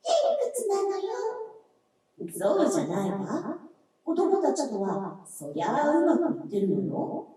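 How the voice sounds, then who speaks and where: A woman playing an ethereal, gentle and curious snow spirit in a lyrical radio drama, with lilting, theatrical delivery. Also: on the high side, very animated, unhurried. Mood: curious, gossipy. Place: live stage performance. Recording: very echoey stage, distant mic, music.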